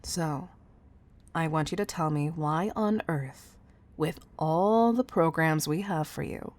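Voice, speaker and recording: Low-voiced, commanding female CEO, acted by a woman. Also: a little mellow. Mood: stern scolding. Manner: measured, condescending, uses pet names. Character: commanding female CEO, stern yet doting, tough-love boss. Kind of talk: scripted audio roleplay addressed to the listener.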